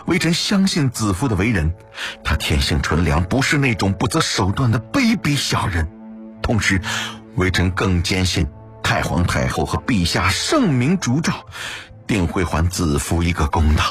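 A man's voice: deep voice, sing-song, normal speed, fairly bright tone, faint music behind. A man playing an earnest, upright official in an audio story, speaking formally and firmly. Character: earnest, upright male official defending his sister.

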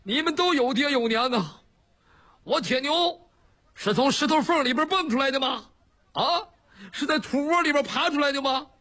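Male storyteller as hot-headed outlaw brute, high-pitched and husky, blunt, blustering, plaintive. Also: big pitch swings, unhurried, pauses often, heavily acted. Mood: aggrieved, tearful. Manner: loud, blunt, wailing and pleading. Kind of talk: traditional Chinese storytelling performance.

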